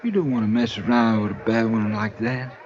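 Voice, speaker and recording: A man's voice: lowish voice, a little fast, a little mellow, natural voice. An actor playing a kindly, wary old man in a 1950s radio drama, folksy and cautioning. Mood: gently warning. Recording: dull old radio recording.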